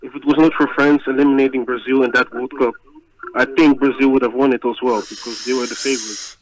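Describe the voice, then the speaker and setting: Dutch guy calling in, calm steady talk, radio-ish phone sound. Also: mid-range voice, quick pace, rough recording. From Netherlands. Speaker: caller. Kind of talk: phone-in call.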